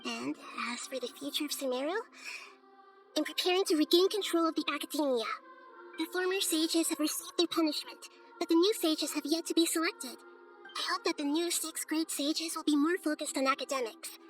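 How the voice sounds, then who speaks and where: A woman voicing a gentle, wise childlike girl deity with measured, formal, earnest speech in a game cutscene. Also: high voice, faint music behind, natural voice. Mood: thoughtful, composed. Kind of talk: scripted game cutscene acting.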